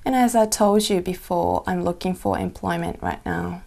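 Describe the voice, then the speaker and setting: A woman's voice: on the low side, moderate pace, vocal fry, darkish tone. A young woman from Japan answering exam questions in simple, careful English with small slips. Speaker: test candidate. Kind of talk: mock speaking exam answers.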